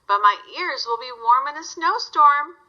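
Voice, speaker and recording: Woman as small storybook hedgehog, stubborn but friendly, high, animated pitch. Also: unhurried, muffled recording, full character voice. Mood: defiant.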